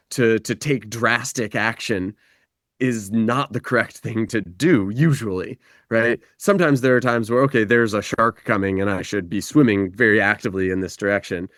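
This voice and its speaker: A man guests on a podcast, reflective and thoughtful, reaching for images as he explains and moving between slow and quick delivery. His voice sits somewhat low. It is recorded close and dry. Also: a bit bright, no echo. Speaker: podcast guest, life guide. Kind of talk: reflective conversation.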